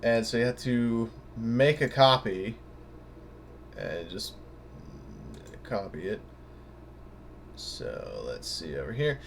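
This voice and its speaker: A man giving a casual, unscripted tutorial in a hesitant, halting way, thinking aloud as he goes. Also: mid-range voice, lively intonation, vocal fry, slight background noise. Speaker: tutorial presenter.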